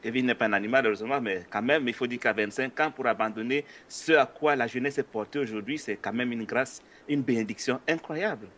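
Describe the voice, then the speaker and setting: A man's voice: mid-range voice, a little fast, hardly any pauses, fairly bright tone, slight background noise. A man hosting an interview, asking questions in a polite, hesitant way with frequent filler sounds. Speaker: interview host. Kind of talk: conversational religious interview.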